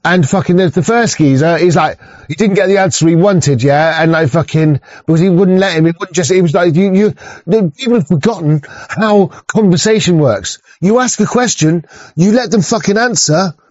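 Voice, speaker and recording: A man rambling on his own livestream, cursing often and tripping over his words as he restarts. His voice sits a little high for a man. The mic sounds dry and slightly muffled. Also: normal speed, a little mellow, no echo. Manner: heavy swearing, frequent stammered restarts. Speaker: livestream host. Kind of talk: casual rambling monologue.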